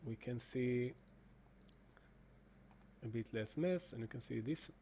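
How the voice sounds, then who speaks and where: Guy walking through his software, soft dark voice, lots of uh's and restarts. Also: medium pitch, a little hiss or hum. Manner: halting, many fillers and restarts. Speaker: presenter demonstrating software. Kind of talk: screen-recorded explanation.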